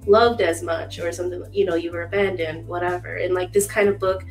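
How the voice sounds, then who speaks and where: Casual low-voiced woman panelist. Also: steady tone, brisk, soft background music, rough recording. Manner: casual, many "like" fillers. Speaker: publishing staff panelist.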